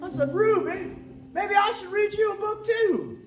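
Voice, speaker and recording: A man's voice: extremely high pitch, a little slow, voice pushed up. A man performing as a cheerful young farm boy, the farmer's son, telling a story to a live audience with bubbly exclamations. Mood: storytelling. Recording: roomy echo, distant mic, faint music.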